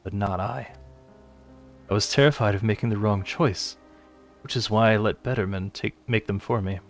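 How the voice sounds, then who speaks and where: A man acts out a young fantasy game lord full of self-doubt. He speaks earnestly and dramatically in a fairly low, creaky voice over music. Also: moderate pace, close to an everyday voice. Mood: anguished, resolute.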